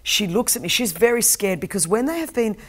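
A woman's voice: medium pitch, lively intonation, brisk, breathy edge. A middle-aged woman whose first language is Greek, telling an intense story as a guest on a studio talk show, gesturing emphatically. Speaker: guest, anti-trafficking campaigner. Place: TV studio set with sofas.

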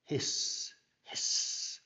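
A man's voice: drawn-out words, muffled recording, loud music behind the voice. A man voicing a scared little girl who plays a hissing snake in a storybook. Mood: scared, urgent. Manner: hissing, pleading.

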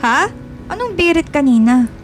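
A woman playing an easily scared, smitten high school girl in a playful, eager radio drama style. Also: big pitch swings, normal speed. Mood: amused. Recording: music under the voice.

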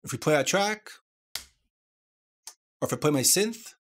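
A man presenting a software tutorial, explaining things step by step. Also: on the high side, expressive, unhurried.

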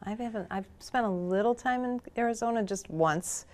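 A woman's voice: a little high, moderate pace. Woman, middle-aged, from Michigan, show host, chatty, upbeat, studio, lapel mic. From Michigan, USA. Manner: chatty, upbeat, salesy when promoting. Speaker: podcast host and photography teacher. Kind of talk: talk-show interview and course promo. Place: studio with dark backdrop.

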